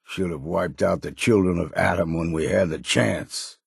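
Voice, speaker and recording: An actor playing a gruff, wary old islander sailor in a video game, with a gravelly, husky voice and salty, folksy, blunt talk. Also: male voice, deep voice, normal speed, no echo, clean studio sound, exaggerated voice. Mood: bitter, blunt. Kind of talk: scripted video game voice lines.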